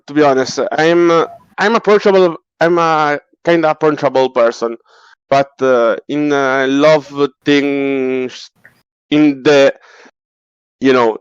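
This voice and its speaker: A man whose first language is Filipino, speaking hesitantly with many pauses and fillers in casual chat. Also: medium pitch, smooth, dry recording. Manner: hesitant, halting, many ums. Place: home, online voice chat. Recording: dull voice-chat audio.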